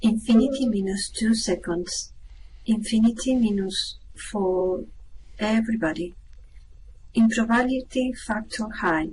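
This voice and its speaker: A woman playing a calm spaceship announcer in a science fiction radio play. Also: on the high side, unhurried, a little hiss or hum, close to an everyday voice, rough recording. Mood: detached. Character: calm female spaceship announcer reading out odds.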